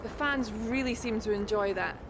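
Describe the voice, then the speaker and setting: A woman's voice: fairly high pitch, moderate pace, over music, natural voice. An actress playing a polite, upbeat female sports interviewer at the trackside in a video game.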